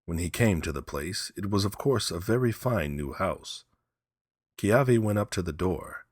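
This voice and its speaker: A man narrating a story as an audiobook reader, in measured, simple phrasing. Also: sits low, animated, husky, no room sound, studio quality.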